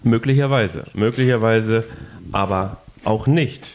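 Low-voiced man hosting a playful quiz. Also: measured pace, a little hiss or hum. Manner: playful, reads quiz clues in first person with puns. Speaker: podcast co-host. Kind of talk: casual football podcast chat.

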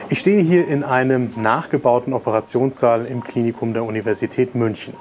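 A man's voice: mid-range voice, a little fast, darkish tone, a little hiss or hum. A man giving a scripted, formal promotional presentation as a doctor, addressing the listeners directly.

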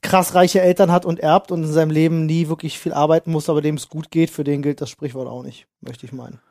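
A man's voice: a little high, fairly even intonation, quick pace, warm tone, no room sound, clean studio sound. A man in a casual podcast chat, speaking informally with slang and English phrases mixed in. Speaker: podcast co-host.

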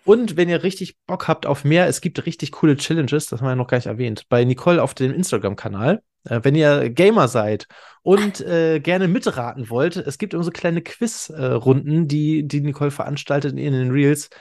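A man's voice: fairly high pitch, normal speed, a little mellow. A man hosting a podcast in casual chat, talking enthusiastically in colloquial, youthful slang. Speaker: podcast host.

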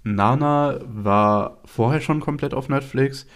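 A man's voice: mid-range voice, slow. A man co-hosting a casual podcast chat, sounding a little stuffed up because he has a cold. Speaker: podcast co-host.

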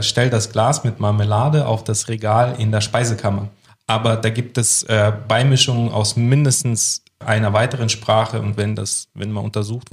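A man co-hosting a podcast, chatting in a relaxed way and explaining with a few fillers, recorded in a room at a language institute. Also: lowish voice, vocal fry. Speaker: podcast co-host. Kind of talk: casual podcast chat.

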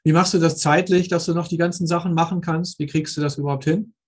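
A man's voice: fairly high pitch, steady tone, fast talker, breathy edge. A man hosting a conversational interview as a mentor to a colleague, speaking in an affirming, complimentary way.

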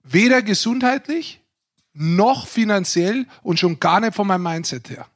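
A man's voice: high voice, a little slow. A man co-hosting a podcast with casual banter, speaking in a direct, challenging, outspoken way. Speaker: podcast co-host.

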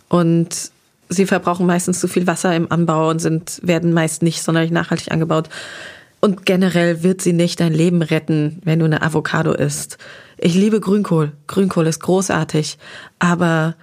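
A young adult woman, a restaurant critic, talking about food in a relaxed interview, lively and enthusiastic, in long flowing sentences. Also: lowish voice.

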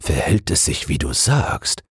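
A calm, authoritative fairy-tale king, read by a male storyteller. He is grave and protective, with a deep, husky voice and slow delivery. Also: no echo, clean studio sound, full character voice. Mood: calm authority.

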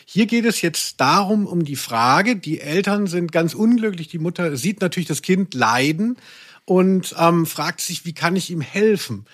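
A man's voice: high-pitched, expressive, measured pace, fairly bright tone. A man co-hosting a casual podcast discussion in a reflective way, searching for his words. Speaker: podcast co-host.

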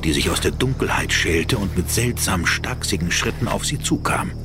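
An ominous narrator of a horror tale. He reads in a measured, suspenseful way, with music clearly behind him. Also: low-pitched, lively intonation. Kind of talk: scripted horror audio drama narration. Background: music under the voice.

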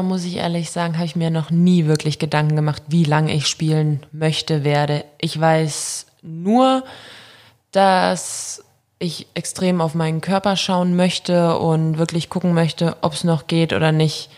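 A woman's voice: fairly low pitch, darkish tone. A woman speaking candidly and informally as an interview guest, giving casual answers and anecdotes, having grown up with German and Russian. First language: mixed German and Russian at home. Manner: candid, informal, laughs readily. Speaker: interview guest, professional handball player.

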